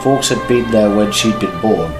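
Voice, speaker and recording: A low male voice narrates a scripted fan audio drama. The room is echoey, and soft music sits behind him. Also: normal speed.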